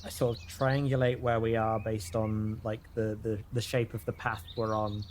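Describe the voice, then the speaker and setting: A man's voice: on the low side, flat delivery, moderate pace, darkish tone, slight background noise. A man with a British accent playing a tabletop role-playing game, thinking aloud in casual, hesitant chat.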